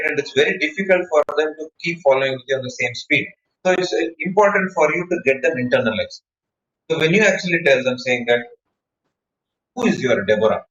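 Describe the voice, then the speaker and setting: A man's voice: on the high side, fairly bright tone. A man with an Indian accent speaking as a public speaking trainer in an online workshop, explaining and answering questions in an instructive way with examples. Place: indoor room, online meeting. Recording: echoey, dull online meeting audio.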